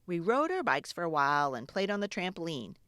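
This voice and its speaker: A woman reading a storybook aloud to children in an expressive, animated way with dramatic pauses. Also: on the low side, no echo, studio quality.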